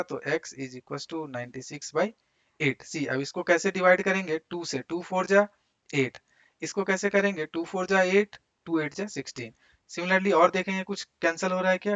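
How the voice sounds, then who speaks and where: High-pitched Indian male maths teacher, dull recording. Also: a bit bright. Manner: explains step by step with frequent rhetorical questions and check words. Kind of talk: school maths lecture in Hindi mixed with English.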